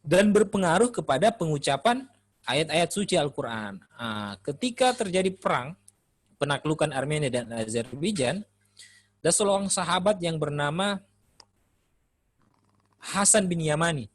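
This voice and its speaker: A man giving an Islamic studies lecture in a relaxed, conversational way, often pausing with "eh" while he thinks. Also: fairly high pitch, dry recording. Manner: relaxed, conversational, frequent "eh" pauses. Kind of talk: classroom lecture.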